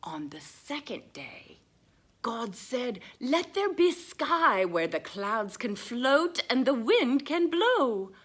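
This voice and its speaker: Woman acting an excitable, very animated storybook lady. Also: fairly high pitch, slow, husky, pitched above the performer's own voice, exaggerated voice. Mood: hushed wonder. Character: Mrs. Butterworth, sweet excitable woman reading to children. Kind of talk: children's story reading.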